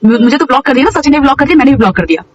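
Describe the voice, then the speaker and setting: A woman's voice: high-pitched, animated, racing, far from the mic, muffled recording. A woman in a heated, informal phone conversation, speaking emphatically and repeating words insistently, on a call recording.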